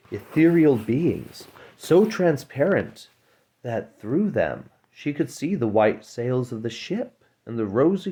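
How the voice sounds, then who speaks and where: A man reading a fairy tale aloud as a storyteller, in a measured, dramatic way. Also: mid-range voice, warm tone, slightly rough.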